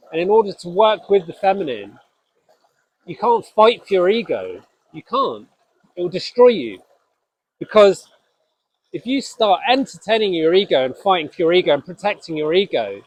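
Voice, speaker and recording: Scottish-born male host, no accent, earnest and halting, fairly high pitch. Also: expressive, poor audio. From Scotland. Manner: earnest, halting, with frequent restarts. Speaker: spiritual podcast host. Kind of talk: reflective solo talk.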